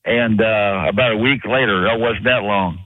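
A man's voice: lowish voice, moderate pace, no room sound. A man calling into a radio show who rambles, restarts and fills with hesitations. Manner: rambling, many fillers and restarts. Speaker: caller. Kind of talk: call-in radio talk. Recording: tinny phone line.

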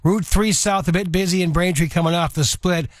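A man's voice: high-pitched, fairly quick, no room sound, clean studio sound. A man giving a live traffic report on the radio.

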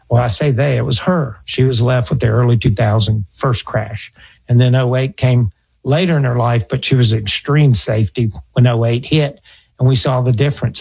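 A middle-aged man with a gravelly, husky voice speaks as a financial advisor giving advice. He talks earnestly, tells stories, and circles back to repeat his points. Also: mid-range voice, darkish tone, low-quality recording. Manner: earnest, storytelling, repeats himself. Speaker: financial advisor radio host. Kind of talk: conversational advice talk.

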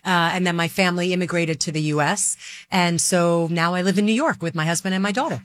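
A woman's voice: lowish voice, no room sound. Chatty middle-aged woman, dry close mic. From Dubai, Middle East. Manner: chatty, quick, with many fillers like "like" and "you know". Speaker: guest, marketing executive. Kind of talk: casual interview answers.

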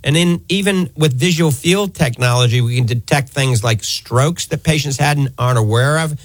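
A man's voice: mid-range voice, normal speed, dry recording. American man hosting talk radio, dry studio sound. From New Orleans area, United States. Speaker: radio call-in show host, eye doctor. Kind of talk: live health talk radio. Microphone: close studio microphone.

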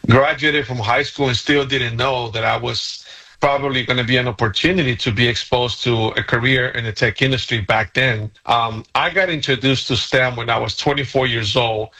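Bright-voiced Guatemalan-born man, earnest. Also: medium pitch, moderate pace, husky, low-quality recording. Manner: earnest, steady explaining. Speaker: nonprofit CEO, guest. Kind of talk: radio interview.

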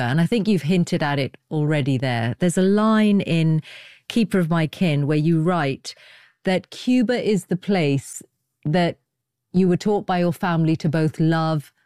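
A woman's voice: low voice, darkish tone, clear, resonant tone, no room sound, clean studio sound. A woman conducting a considered literary interview as host, articulate and probing in her questions and comments.

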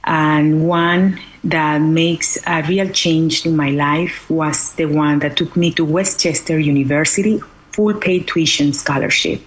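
A woman from Venezuela with a Venezuelan Spanish accent, giving earnest interview answers as a guest in non-native English with searching pauses. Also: low voice, fairly even intonation, a little hiss or hum, low-quality recording. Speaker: interview guest, charity foundation director.

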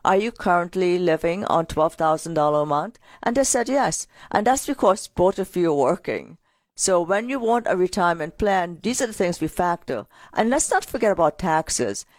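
A woman co-hosting a financial radio show, telling client stories in an energetic, talkative way. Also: on the low side, normal speed, dry recording. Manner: energetic, storytelling with client anecdotes. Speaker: radio co-host, financial advisor. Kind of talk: casual radio finance talk.

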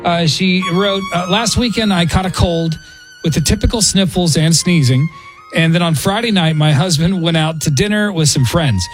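A man's voice: sits high, moderate pace, faint music behind, rough recording. A man hosting a radio show, chatting and joking in a rambling way. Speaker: radio morning show host. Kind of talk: casual radio banter. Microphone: studio microphone.

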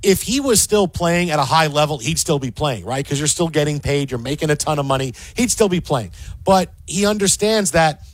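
A man co-hosting a casual sports talk show, animated and often repeating words as he gets a thought going. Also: on the high side, a little fast, dry recording. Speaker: sports podcast co-host.

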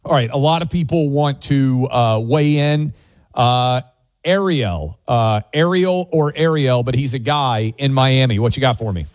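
A man's voice: medium pitch, unhurried, dry recording. American male talk radio host, dry studio sound. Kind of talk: opinionated call-in radio commentary.

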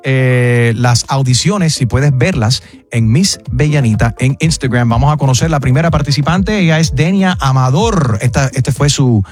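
A man's voice: medium pitch, moderate pace, faint music behind. A male radio host with an upbeat, showy promotional delivery, mixing in English phrases and repeating himself for emphasis.

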